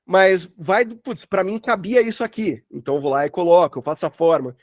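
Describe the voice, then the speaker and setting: A man's voice: high-pitched, a little fast, no echo, clean studio sound. A Brazilian man teaching an informal online sound design workshop, explaining examples in a casual, rambling, slangy way. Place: at home, online session.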